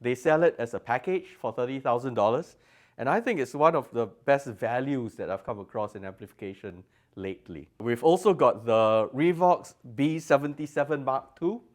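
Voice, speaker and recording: A man's voice: mid-range voice, lively intonation, moderate pace. A man presenting products as a designer at a trade show, explaining technical details with emphasis. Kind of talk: product demonstration in an interview. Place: exhibition room at an audio show.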